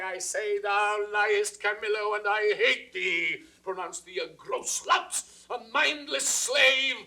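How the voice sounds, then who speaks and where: A man's voice: sits high, sharp tone, heavily acted, low-quality recording. An actor playing a jealous, suspicious king with a British accent, in theatrical classical Shakespearean style for an audio drama. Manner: theatrical, crisply declaimed, dropping into whispers. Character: jealous, suspicious king brooding over his wife's imagined betrayal. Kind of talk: classical Shakespearean stage acting, 1960s audio recording.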